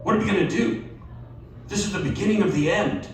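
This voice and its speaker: A man's voice: high-pitched, a little fast, slight background noise, voice pushed up, close to an everyday voice. A man voicing another grown man in a read-aloud story, speaking up emphatically, heard from a distance in a very echoey room. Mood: anxious. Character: adult man, teasing then frantic.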